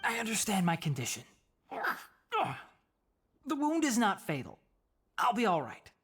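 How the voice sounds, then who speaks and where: A wounded young male healer in a video game. He speaks slowly and wearily, dry and caring, with an expressive, moving pitch. There is faint noise behind him. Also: heavily acted. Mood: stubborn, tired. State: weary, in pain, sighing. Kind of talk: video game character acting.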